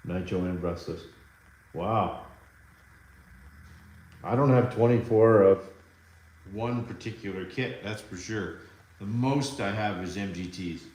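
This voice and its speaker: A man's voice: lowish voice, slow, pauses often, darkish tone. Canadian man chatting in an echoey workshop. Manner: rambling, restarts often, chats with viewers. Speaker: livestream host. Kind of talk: casual live-stream chat. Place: RC hobby workshop indoors.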